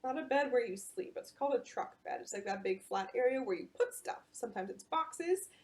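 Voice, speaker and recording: Animated woman reading a bedtime story. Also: on the high side, brisk. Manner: animated, explaining to children. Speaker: children's librarian.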